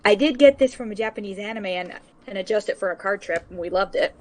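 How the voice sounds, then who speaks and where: A woman's voice: mid-range voice, fast talker. A woman on an online voice call patiently explaining the rules of a word game and defending her own version of it. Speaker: stream co-host. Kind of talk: casual group chat on a voice call. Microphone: online voice chat mic.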